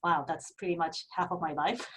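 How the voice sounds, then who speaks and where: A woman in her forties with a Japanese accent talks as an interview guest, earnest and a bit halting. Her voice is fairly low for a woman. She sounds set back from the microphone. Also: steady tone, slightly rough, distant mic, low-quality recording. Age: 40s. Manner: earnest, frequent restarts and fillers. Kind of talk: casual interview.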